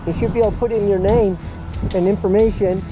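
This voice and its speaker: A man with a high voice working as a fish and game warden, talking fast and brisk on an outdoor pier. He keeps it informal, full of dudes. Loud music plays over the recording. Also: steady tone, poor audio. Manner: brisk, informal, calls people dude. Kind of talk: casual official talk.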